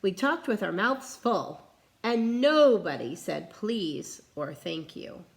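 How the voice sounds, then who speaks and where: A woman reading a children's storybook aloud with expressive, dramatic narration. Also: medium pitch, takes it slowly, gravelly. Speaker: storybook reader.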